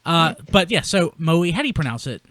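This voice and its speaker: A man's voice: fairly high pitch, very animated, brisk, bright, forceful tone, no room sound. A man hosting a casual chat podcast, rambling and correcting himself as he goes. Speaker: podcast host. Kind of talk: casual chat about toy collecting news.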